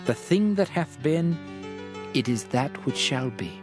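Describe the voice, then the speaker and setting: An actor playing a world-weary, reflective king called the Preacher in a dramatized scripture reading, solemn, measured and declamatory. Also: fairly high pitch, expressive, over music.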